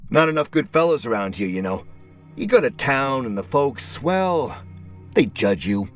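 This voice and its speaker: A man's voice: mid-range voice, very animated, moderate pace, faint music behind, voice pushed up, close to an everyday voice. A man voicing a plump, shabby middle-aged male neighbor in a story, eager, rambling and exclamatory. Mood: chatty, aggrieved.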